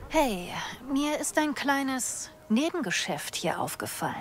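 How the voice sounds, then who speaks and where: A woman's voice: high voice, sing-song, a little slow. An actress playing a streetwise young female outlaw in a video game, with a dry, knowing, casual manner. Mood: wry.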